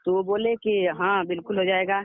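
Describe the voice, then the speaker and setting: A man's voice: high voice, steady tone, moderate pace. A young man, recounting events deferentially and politely over the phone. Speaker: interviewee, aspiring singer. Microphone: phone call. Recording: muffled narrowband phone call recording.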